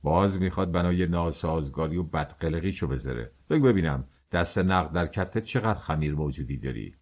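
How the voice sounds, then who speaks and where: A man's voice: deep voice, animated, voice pushed down. A man voicing a middle-aged male master baker who gives orders to his worker in a commanding, teasing way. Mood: irritated.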